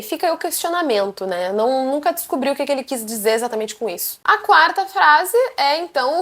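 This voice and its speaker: A young woman with a Brazilian Portuguese accent, chatting to camera as a vlogger and telling stories in a lively, animated way. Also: high-pitched. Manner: animated, chatty, acts out quotes. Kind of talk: casual storytelling to camera.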